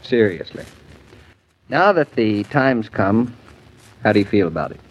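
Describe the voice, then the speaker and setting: An actor playing an affectionate, gently teasing husband in a 1940s radio drama, with a wry, patient manner. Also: male voice, fairly low pitch, very animated, a little slow. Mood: tender, earnest. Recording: dull, lo-fi 1940s radio broadcast.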